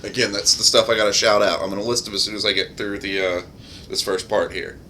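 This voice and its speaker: A male streamer with a fairly low, bright and forceful voice talks informally to introduce a game. He halts and rephrases as he goes, over a faint noisy rumble. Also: flat delivery, fast talker.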